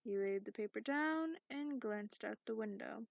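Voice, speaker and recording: A woman playing a sarcastic, cranky dragon in a soft-spoken ASMR bedtime reading, recorded close up in lo-fi. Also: on the high side, expressive, normal speed, dry recording. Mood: drowsy storytelling. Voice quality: creaky. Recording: dull lo-fi close dry recording.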